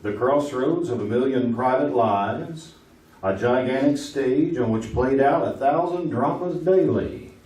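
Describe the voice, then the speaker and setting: An actor playing a goofy, wisecracking radio quiz show host who exclaims in mock disbelief. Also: male voice, medium pitch, measured pace, a little mellow, close to an everyday voice. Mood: playful. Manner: joking, mock-incredulous, exclaiming. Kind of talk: old-time radio comedy quiz show. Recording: echoey hall, distant mic, audience noise.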